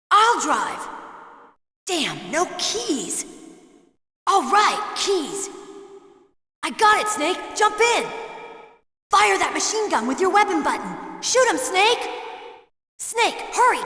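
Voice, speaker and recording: A spirited young woman soldier from a video game. Her voice is high, bright and very animated, ringing in a long hall-like reverb. She is emotional and exclamatory. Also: full character voice. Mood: urgent, shouting. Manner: exclamatory, urgent.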